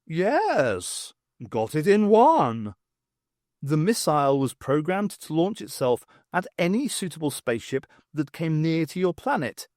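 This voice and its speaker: A man's voice: on the high side, very animated, measured pace, a little mellow, no echo, clean studio sound, pitched above the performer's own voice. A man voicing a pompous, stately male alien leader in an audiobook, haughty and grand. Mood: grand, self-satisfied. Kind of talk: audiobook character voice.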